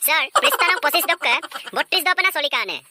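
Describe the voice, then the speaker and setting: A woman's voice: high voice, very animated, brisk, heavy background noise. A woman voicing a cheeky schoolchild who answers back to the teacher in a cartoon comedy.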